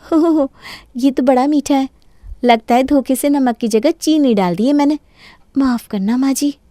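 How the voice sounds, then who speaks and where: A woman's voice: high voice, normal speed, dark tone, no echo, studio quality, lightly acted. A woman voicing a sly, sweetly apologetic young daughter-in-law in a Hindi cartoon story. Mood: mock innocent. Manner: mock-innocent, pleading, sugary.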